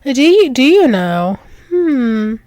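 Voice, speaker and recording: Girl doing a possessive alpha werewolf demon lady, asmr roleplay, really slow n teasing, close dry sound. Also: high-pitched, sing-song, darkish tone, no echo, heavily acted. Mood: doting, possessive. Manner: teasing, coaxing, slow repeated praise, often dropping to whispers. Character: dominant, possessive, doting female werewolf demon lover.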